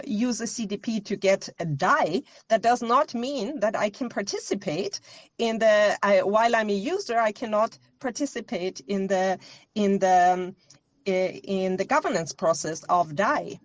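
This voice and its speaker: A woman lays out her views in a long, earnest explanation, stopping often to restart a phrase. Her voice sits at a middle pitch for a woman. The sound is dry and close, with no room around it. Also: dry recording. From Austria. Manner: earnest, lecturing, many self-corrections. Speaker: guest expert. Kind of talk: interview answers, explaining at length.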